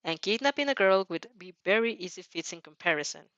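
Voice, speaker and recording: A woman's voice: mid-range voice, lively intonation, brisk, fairly bright tone, no echo, muffled recording, natural voice. A woman voicing an earnest, worried teenage boy student in a fan fiction reading, doubtful and careful.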